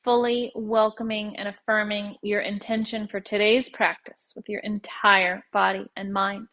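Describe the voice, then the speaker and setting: Female meditation guide, calm and measured, very level mid-range pitch, unhurried phrasing with pauses. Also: dull audio.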